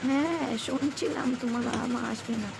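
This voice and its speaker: A woman voicing a sly, jealous older mother-in-law in a cartoon, with a creaky voice and an insinuating, grumbling manner. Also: high voice, a little fast. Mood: sly, needling. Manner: insinuating, grumbling, tale-bearing. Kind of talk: dramatic cartoon dubbing. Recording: very noisy background.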